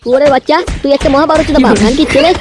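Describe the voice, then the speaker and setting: A woman voicing a cheeky, foul-mouthed, lovestruck young man in a comedy cartoon, whiny, excitable and crude. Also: sits high, racing, loud music behind the voice, lightly acted. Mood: indignant, cursing. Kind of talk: amateur comedy cartoon dubbing. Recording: clearly noisy homemade cartoon audio.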